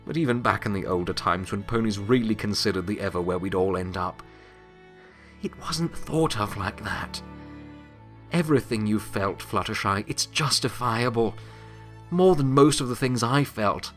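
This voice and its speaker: A man playing a weary, wry, remorseful pony who serves as Death, speaking in a reflective, halting, confiding monologue in an audio drama. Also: medium pitch, lively intonation, faint music behind, lightly acted. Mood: gentle, wistful.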